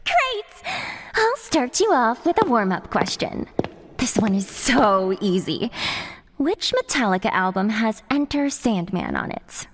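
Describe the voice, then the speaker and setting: A woman playing a sadistic, taunting female monster in a horror audio drama, mocking and gloating with theatrical, drawn-out delivery. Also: fairly high pitch, sing-song. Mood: gleeful, teasing. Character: sadistic, taunting female monster running a deadly music quiz.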